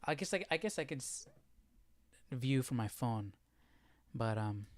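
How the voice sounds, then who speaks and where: A man hosting a live Bible study stream, talking informally and haltingly as he thinks aloud. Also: mid-range voice, animated, no echo. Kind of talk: casual livestream chat.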